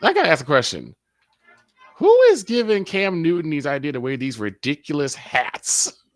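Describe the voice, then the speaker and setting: A man's voice: on the high side, wildly swooping pitch, moderate pace, dry recording, soft background music. A man co-hosting a sports stream, chatting casually and teasing with questions. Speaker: co-host.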